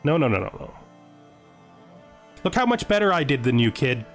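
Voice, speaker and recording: A man's voice: mid-range voice, sing-song, normal speed. A man giving casual let's play commentary on a strategy game, musing aloud and reading game text out. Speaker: gaming video host. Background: background music.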